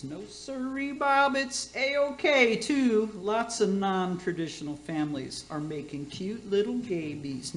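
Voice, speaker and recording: A woman's voice: medium pitch, lightly acted. A woman playing a tipsy, bawdy middle-aged auntie who hosts a radio cooking show in a comedy play, rambling and gushing with slurred word slips. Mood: cooing, bawdy. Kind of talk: community theater radio comedy acting. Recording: roomy, echoing space.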